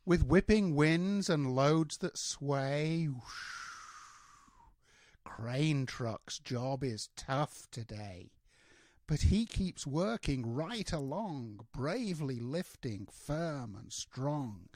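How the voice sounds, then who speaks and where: An older man, a grandfather, reading a rhyming children's storybook aloud in a measured, expressive way with drawn-out words. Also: fairly high pitch, dry recording, studio quality.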